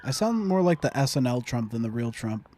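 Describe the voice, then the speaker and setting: A man's voice: medium pitch, big pitch swings, a little fast, mellow. A young man giving casual gameplay commentary, muttering to himself, humming and repeating phrases. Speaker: gaming streamer.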